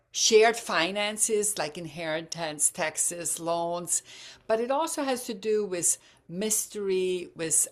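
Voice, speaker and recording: A woman, her voice low and gravelly with fry. She explains things at an easy, halting pace, often pausing on fillers and restarting her sentences. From Germany or Croatia, bilingual German-Croatian. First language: German. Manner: hesitant, many fillers and restarts. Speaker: astrology speaker. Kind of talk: informal talk with slides.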